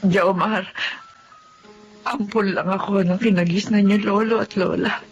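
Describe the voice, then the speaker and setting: A woman's voice: mid-range voice, fairly even intonation, unhurried, breathy edge, muffled recording, faint music behind. An actress playing an emotional, longing middle-aged Filipino mother in a radio drama, solemn and dramatic. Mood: tearful, longing.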